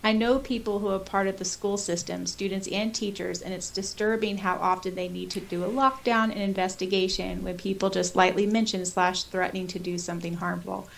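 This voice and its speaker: A woman in her thirties with an American accent, co-hosting a podcast in a casual, animated, chatty way. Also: medium pitch, brisk, hardly any pauses, low-quality recording. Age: 30s. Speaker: podcast co-host. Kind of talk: casual chat, reading out and reacting to listener comments. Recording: clear background noise with hiss.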